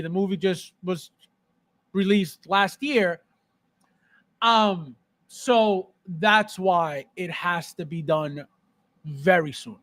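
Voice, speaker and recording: Guy hosting a casual stream, high voice, dry close sound, repeats himself to make a point. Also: a bit bright, no echo. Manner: conversational, repeats phrases for emphasis, often checks with "right?". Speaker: live-stream host. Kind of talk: casual live-stream chat about collectibles.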